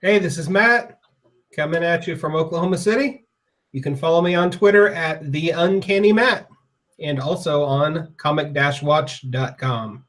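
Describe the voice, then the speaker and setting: A man co-hosting a podcast and running through the news. He is upbeat and conversational and quick to get excited. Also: on the high side. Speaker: podcast co-host reading the news. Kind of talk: casual podcast news rundown.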